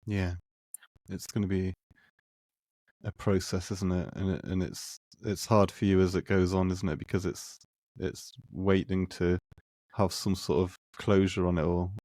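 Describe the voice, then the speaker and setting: Man, deep voice, dry sound, podcast host, casual, hesitant, tentative. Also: flat delivery, measured pace, a little mellow, dry recording. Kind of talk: casual conversation.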